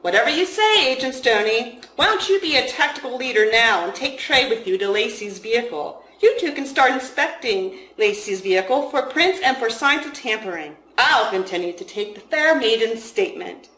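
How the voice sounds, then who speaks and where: A woman voicing a teasing, calm male FBI agent in a story reading. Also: fairly high pitch, normal speed, hardly any pauses. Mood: mischievous. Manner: playful, mischievous. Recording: roomy, echoing room sound.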